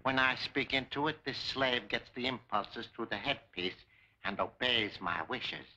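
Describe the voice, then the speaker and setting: An actor playing a suave, menacing Japanese mastermind in a 1940s film serial, speaking formally with cold, pompous courtesy. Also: male voice, mid-range voice, a little slow, sharp tone. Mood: coldly commanding. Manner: formal, grandiose, coolly courteous. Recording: dull 1940s film serial soundtrack, music.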